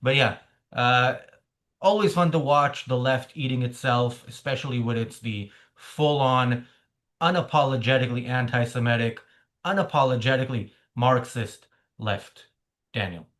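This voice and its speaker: A man's voice: medium pitch, normal speed, fairly bright tone. Wry man hosting a political podcast. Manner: wry, sarcastic, many filler sounds. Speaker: podcast host. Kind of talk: casual political commentary.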